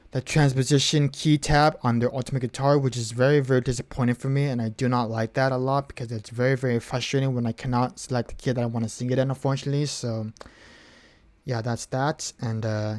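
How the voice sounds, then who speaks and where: A man talks to his stream viewers in a relaxed, unscripted way. His voice sits in an ordinary middle range, and he rambles, repeating words and filling the pauses with ums and uhs. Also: medium pitch. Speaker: streamer taking song requests. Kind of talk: casual live-stream chat.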